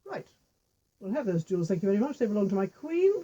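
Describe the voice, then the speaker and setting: A woman voicing an officious king's soldier in a folk tale, with a brisk, no-nonsense manner. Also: medium pitch, very animated, dark tone, breathy edge, dull audio.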